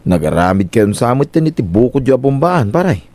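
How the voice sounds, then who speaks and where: A man's voice: mid-range voice, very animated, quick pace, darkish tone, no room sound. A man with an Ilocano accent playing a plain-spoken village friend who gives cautions in a comedy radio drama. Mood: advising.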